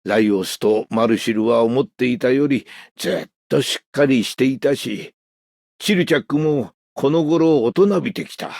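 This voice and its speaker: An actor playing a seasoned, fatherly adventurer with a gravelly voice and old-fashioned elder's speech in a game. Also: mid-range voice, darkish tone, no room sound, studio quality. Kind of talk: scripted game character voice line.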